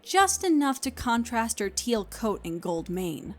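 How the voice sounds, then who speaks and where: A woman's voice: a little high, sing-song, unhurried. A woman reading scripted story narration as the narrator of an audio play. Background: music.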